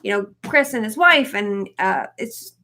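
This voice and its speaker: A woman's voice: mid-range voice, expressive, measured pace, bright, forceful tone. A woman in her thirties co-hosting a casual live question-and-answer chat, speaking in a blunt, informal way. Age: 30s. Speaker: co-host of a trade channel.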